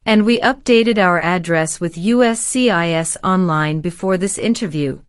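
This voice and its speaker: A woman in her forties from Syria, giving polite, formal, measured answers as a citizenship applicant. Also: lowish voice, smooth, no echo, studio quality. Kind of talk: scripted interview answers.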